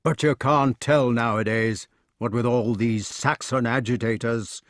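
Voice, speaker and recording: A man's voice: mid-range voice, steady tone, unhurried, a bit bright, no echo, clean studio sound, close to an everyday voice. A man voicing a blustering, indignant old knight in a storybook, solemn and pompous in manner. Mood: disapproving.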